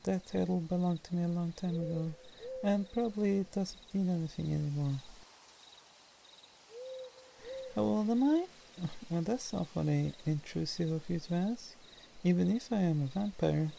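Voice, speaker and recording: A woman's voice: fairly low pitch, vocal fry, mellow. A woman voicing a lonely, youthful-looking vampire in an ASMR roleplay, speaking in a wistful, formal and shyly hesitant way. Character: lonely, tsundere female vampire, youthful but 130 years old. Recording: noisy, nighttime crickets and owls behind. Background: night woodland ambience with crickets, owls.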